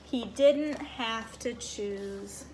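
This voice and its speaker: A woman with a high voice reads a children's story aloud. Her delivery is expressive and animated, made for young listeners. There is faint noise behind her. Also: extremely slow. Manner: animated, acts out small moments.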